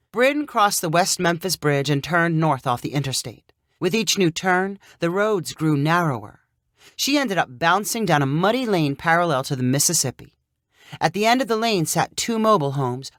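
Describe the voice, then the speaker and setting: A woman narrating a scripted short story in an expressive, clearly paced storyteller's manner. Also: low voice, no echo, clean studio sound.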